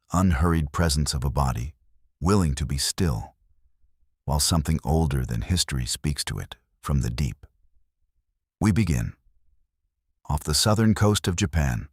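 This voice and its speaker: A man reading a scripted sleep meditation narration in a solemn, unhurried, soothing way. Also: extremely low pitch, no echo, clean studio sound.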